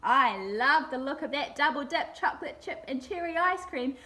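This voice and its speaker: A woman voicing a huge, greedy crocodile from a picture book, croaking and drawing out the words. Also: high voice, animated, pushed and bright. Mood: gloating hunger. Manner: croaking, drawn-out delivery.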